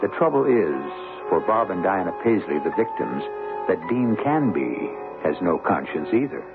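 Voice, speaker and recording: A man narrating a radio mystery drama as its host, with grave, measured, deliberate phrasing. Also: low-pitched, big pitch swings, loud music behind the voice. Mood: grave, ominous. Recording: muffled old radio broadcast.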